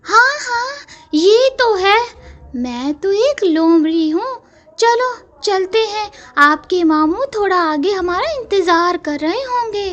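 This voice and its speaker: A woman's voice: very high-pitched, animated, slow pace, a bit bright, dull audio, pitched above the performer's own voice, heavily acted. A woman voicing a cunning, scheming female fox who sweet-talks like an auntie in a cartoon story. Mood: sly, coaxing. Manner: coaxing, sly.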